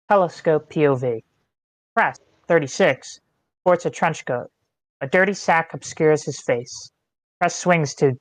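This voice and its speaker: A reader giving plain, measured scripted narration of screenplay stage directions. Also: medium pitch, no room sound. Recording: online meeting, close and dry.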